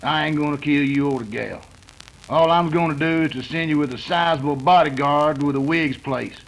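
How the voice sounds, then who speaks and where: An actor playing a menacing outlaw gang boss with a folksy cowboy drawl, in 1930s western radio style. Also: male voice, fairly high pitch, normal speed, creaky, a little hiss or hum, low-quality recording. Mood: threatening, smug.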